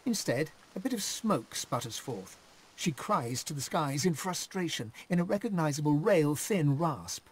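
A lively fantasy game narrator. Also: male voice, on the high side, slightly rough. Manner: measured, descriptive storytelling. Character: game narrator, measured male storyteller.

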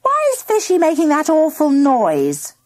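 A woman voicing a bossy, excitable young schoolgirl in a children's story, exclaiming and giving orders. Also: very high voice, takes it slowly, pitched above the performer's own voice, exaggerated voice. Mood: indignant. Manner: exclamatory, commanding.

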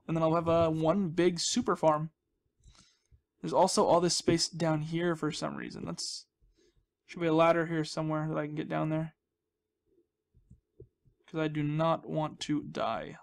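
Higher-voiced man chatting over gameplay. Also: flat delivery, unhurried, creaky, pauses often. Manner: chatty, jokey, self-deprecating. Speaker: gaming video host. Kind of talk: casual rambling gameplay commentary.

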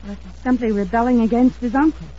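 A woman's voice: fairly high pitch, quick pace, dark tone, natural voice. An actress playing an anxious, earnest young woman in an old-time radio drama, with dramatic, hesitant delivery. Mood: urgent, worried. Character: earnest, anxious young woman, defensive about her sweetheart. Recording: dull old radio recording.

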